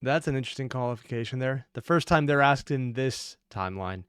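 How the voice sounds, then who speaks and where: A man's voice: mid-range voice, lively intonation, fairly quick, fairly bright tone, a little hiss or hum. A man giving casual, off-the-cuff commentary as a reaction host, analytical and prone to rambling asides and correcting himself.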